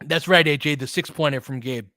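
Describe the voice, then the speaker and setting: A man calling basketball play-by-play with quick, excitable calls and chatty asides, on a close mic at home. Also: fairly high pitch, no echo. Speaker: play-by-play commentator. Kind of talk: fan-made basketball play-by-play commentary.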